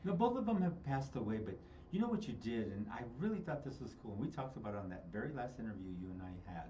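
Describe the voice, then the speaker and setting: A man's voice: medium pitch, sing-song, fast talker, warm tone, distant mic, slight background noise. A man hosting a casual interview, teasing his guest playfully and laughing often. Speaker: host. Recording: roomy studio sound from off-mic, dull lo-fi.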